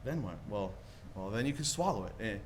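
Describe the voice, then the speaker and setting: A man's voice: fairly low pitch, animated, brisk, close to an everyday voice. A man playing an eager, dreamy young small-town man in love, stammering and rambling in 1940s radio play style. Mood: dreamy, boastful. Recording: live stage performance, audible background noise.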